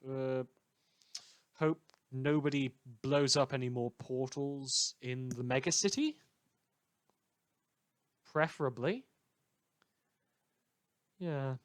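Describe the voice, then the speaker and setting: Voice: medium pitch, no echo, natural voice. Someone playing a mild earnest radio host, kinda rambly and hesitant, lots of ums, super dry sound. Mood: tentative signing off. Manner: hesitant, rambling, with hums and trailing pauses. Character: earnest, mild radio show host reading listener letters. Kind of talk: fictional evening radio broadcast, fan audio drama.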